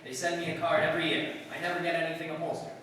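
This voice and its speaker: An actor playing a dry, laconic police detective sergeant in a radio drama, with clipped, deadpan delivery. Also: male voice, fairly high pitch, rapid-fire, close to an everyday voice. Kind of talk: 1950s radio police drama acting, scripted narration. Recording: distant, echoey hall with long reverb, hiss.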